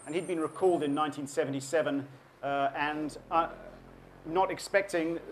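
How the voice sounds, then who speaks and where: A man's voice: on the high side, a little hiss or hum. South African man giving an author talk. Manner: thoughtful, many ums and restarts. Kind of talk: public talk to an audience. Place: event room with an audience.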